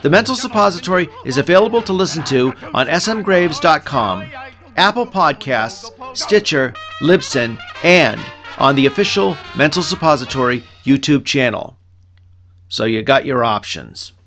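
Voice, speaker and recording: A man's voice: fairly high pitch, lively intonation, moderate pace, a bit bright, soft background music. A man reading scripted podcast credits as an announcer.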